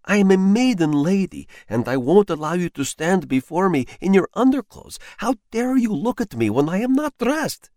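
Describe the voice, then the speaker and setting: A man voicing a shrill, stingy spinster housekeeper who scolds in rapid-fire bursts. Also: big pitch swings, no echo, studio quality. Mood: shrieking outrage. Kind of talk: audiobook character voice.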